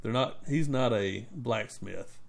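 A man's voice: mid-range voice, slow pace, gravelly. A man co-hosting a casual chat at home with his child, prompting with leading questions. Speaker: podcast co-host talking with his child.

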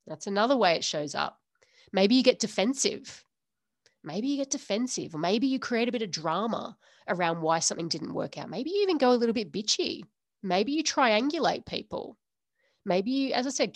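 A woman's voice: medium pitch, expressive, fairly quick, no echo. A woman hosting a solo podcast, talking earnestly to listeners in a string of repeated rhetorical questions. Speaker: podcast host. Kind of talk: solo podcast coaching talk.

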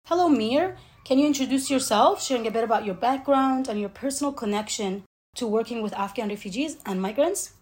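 A woman's voice: fairly high pitch, a little fast, darkish tone. A woman hosting an interview, introducing guests and asking questions in polished, formal phrasing. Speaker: interview host. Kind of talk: semi-scripted interview hosting.

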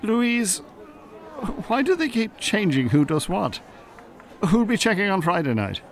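A polite Irish con man passing himself off as a stuffy scientist. His fairly high voice swings about in fussy, formal phrases, as heard in an audio play. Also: big pitch swings, measured pace, a crowd in the background, noisy background, exaggerated voice. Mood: probing, earnest. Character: smooth male con-artist posing as a stiff scientist. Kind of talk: radio drama acting.

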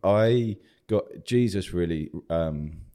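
A man's voice: sits low, slow, dark tone. A man from South England, a church leader telling his story in an easygoing, joking way. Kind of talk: interview conversation.

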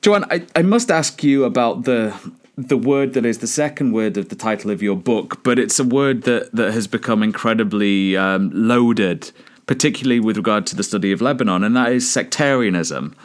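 A man with a lowish voice asks an author about her book. He is measured and polite, repeating small words as he builds each academic question. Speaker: interviewer.

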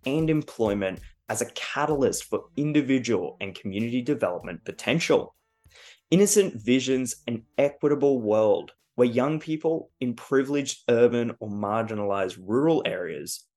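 Medium-pitched male podcast host. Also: a little mellow. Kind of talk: scripted introduction and polite interview questions.

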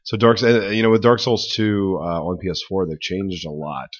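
A man with a very low, hoarse voice talks casually as a podcast co-host. He rambles and corrects himself as he goes. The audio is dull and lo-fi. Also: flat delivery, moderate pace. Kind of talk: casual chat.

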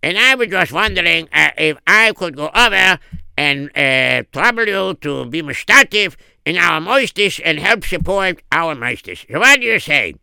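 A man voicing a wheedling rabbi fundraiser in a comic story, with a drawn-out, hesitant way of pleading. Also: a little high, expressive, gravelly, pushed and bright, clear, resonant tone, dry recording, exaggerated voice, poor audio. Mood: polite wheedling. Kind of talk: acted dialogue in a comic story.

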